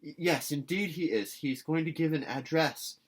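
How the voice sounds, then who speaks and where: A man voicing an excitable, dramatic young orphan girl in a storybook reading, gushing and pleading earnestly. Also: a little high, moderate pace, pitched above the performer's own voice, close to an everyday voice.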